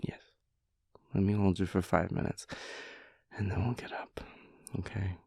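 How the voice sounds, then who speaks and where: A man playing a sleepy, playful boyfriend in an intimate audio roleplay, theatrical and mock-dramatic, with breathy, hushed asides. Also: low voice, flat delivery, measured pace, no room sound, exaggerated voice. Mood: sleepy, tender.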